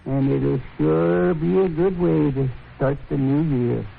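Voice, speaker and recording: An actor playing a proud, ornery old man in a radio western, with a creaky voice and halting, folksy speech. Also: on the high side, music in the background, exaggerated voice. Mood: subdued, reflective. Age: older. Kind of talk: 1950s radio western acting. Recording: tinny, noisy 1950s radio recording.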